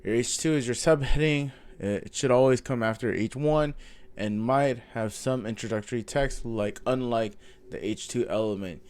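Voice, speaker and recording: A man's voice: mid-range voice, normal speed, darkish tone. A man with a stuffy nose from a cold, casually reading through a coding course aloud with frequent ums and self-corrections. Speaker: self-taught coding vlogger. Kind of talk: casual follow-along reading and thinking aloud.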